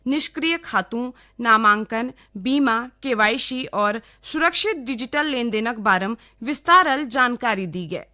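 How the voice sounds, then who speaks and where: A woman's voice: on the high side, pushed and bright. A woman with a Kumaoni accent reading scripted regional radio news. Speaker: newsreader.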